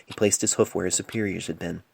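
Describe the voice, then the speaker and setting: A man narrating a scripted story in a solemn, measured way, recorded amateurishly on a cell phone mic. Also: deep voice, steady tone, vocal fry, a little mellow, a little hiss or hum. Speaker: amateur fan fiction author and narrator.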